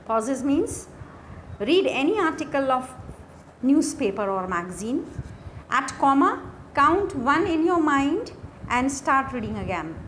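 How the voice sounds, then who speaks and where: A woman with an Indian accent teaching a lesson from slides in a deliberate way, repeating key phrases. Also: high-pitched, very animated, fairly bright tone, a little hiss or hum. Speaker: teacher, lecturer. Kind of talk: instructional lesson explaining slides.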